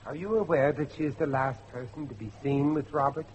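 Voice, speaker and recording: A middle-aged man acting a determined father and judge in old-fashioned radio drama style, with a dramatic, deliberate delivery. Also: on the high side, a little mellow, dull audio, a little hiss or hum, close to an everyday voice. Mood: firm, probing. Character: grave, determined father, a judge seeking his missing son.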